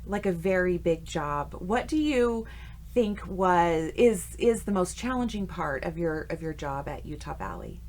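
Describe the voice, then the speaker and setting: A woman's voice: fairly low pitch, expressive, a little slow, darkish tone. A woman hosting an interview podcast, asking questions in a friendly, affirming way. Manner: friendly, affirming, frequent "sure". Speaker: podcast host.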